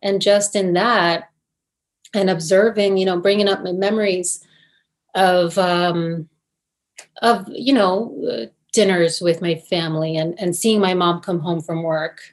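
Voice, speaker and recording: A woman's voice: on the low side, fairly even intonation, a little slow. A middle-aged woman from New Jersey, an actress answering interview questions in a thoughtful, hesitant way with many fillers and restarts. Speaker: actress, guest.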